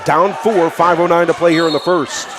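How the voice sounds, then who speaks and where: A fairly high-pitched man gives live radio play-by-play of a basketball game. He talks in clipped, excitable phrases and lifts his voice on big plays. A lively crowd and clear gym noise sit behind him. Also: normal speed. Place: indoor high school gymnasium. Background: crowd and bouncing basketball.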